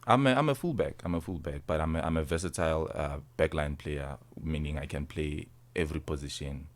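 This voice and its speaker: A man's voice: rumbling low, a little fast. A nineteen-year-old man with a South African accent, answering interview questions informally as a sports guest, often repeating and rephrasing. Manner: informal, repeats and rephrases, tags phrases with "you see". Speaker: interview guest, rugby player.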